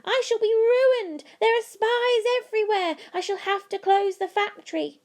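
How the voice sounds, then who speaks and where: Storybook factory owner, a woman acting him out, male, frantic and outraged, loud, very high-pitched wail. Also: steady tone, normal speed. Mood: despairing outrage. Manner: loud, shrill exclaiming. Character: distraught, furious male chocolate factory owner.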